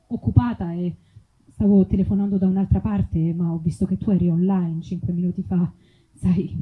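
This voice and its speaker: A woman acting a flirtatious phone-line lady in a parody advert. Her voice sits fairly low and she talks in a suggestive, chatty way. The sound is narrow and muffled, like a telephone. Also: lightly acted.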